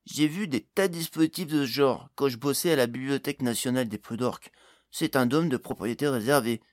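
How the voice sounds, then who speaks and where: A man's voice: mid-range voice, a little fast, no room sound, studio quality, close to an everyday voice. A man voicing a young male gnome intern in an audiobook fantasy tale, hesitant at first, then emphatic and exclamatory. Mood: hesitant, knowing. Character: young male gnome, precarious intern, eager and indignant magic-device expert.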